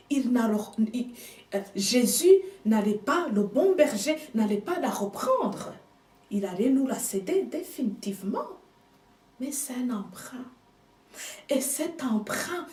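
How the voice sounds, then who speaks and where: Woman preaching slow and emphatic, lively high voice, echoey room and a bit far off. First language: another language than French. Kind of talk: sermon. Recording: echoey room, speaker off mic.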